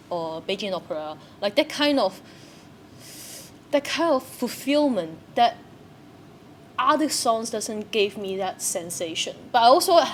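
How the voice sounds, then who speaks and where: A young woman, a theatre practitioner, talking in a searching, questioning way and often repeating herself. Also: medium pitch. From Hong Kong. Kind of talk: reflective conversation. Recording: faint background noise.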